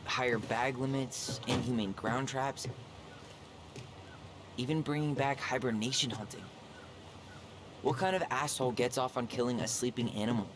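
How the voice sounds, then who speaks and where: A man's voice: mid-range voice, moderate pace, pauses often, close to an everyday voice. A man acting an earnest, wry young American man in naturalistic, understated video game dialogue. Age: young adult. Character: earnest, dryly joking young man, passionate about wildlife. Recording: game stream with clear background noise.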